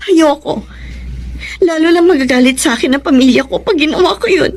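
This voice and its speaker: High-voiced, heartfelt young older sister. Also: female voice, brisk. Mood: worried, reluctant. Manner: emotional, heartfelt. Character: devoted, guilt-ridden older sister, loving and grateful. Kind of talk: Tagalog radio drama acting.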